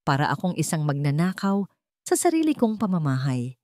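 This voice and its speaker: Woman narrator voicing a troubled, secretive husband, lowish pitch. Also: very animated, a little fast, mellow, no room sound, clean studio sound. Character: troubled, secretive adult billionaire husband, guilt-ridden and resolute. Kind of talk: dramatic story dialogue.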